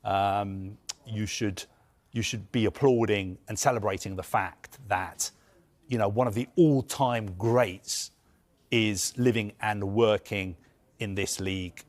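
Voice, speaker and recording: A man's voice: lowish voice, steady tone, takes it slowly. A man giving live news analysis as a football reporter, earnest and emphatic, building his points through lists.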